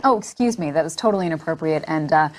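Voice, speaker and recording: A woman hosting a live political call-in show in a brisk broadcast style. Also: low voice, lively intonation, a little hiss or hum.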